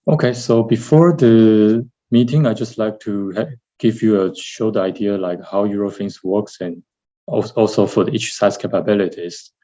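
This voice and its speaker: A middle-aged man from Taiwan with a Taiwanese accent gives a webinar slide presentation as a lab director, haltingly repeating and correcting his words. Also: lowish voice, dark tone. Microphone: video call, seated at computer. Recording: dull, lo-fi webinar audio.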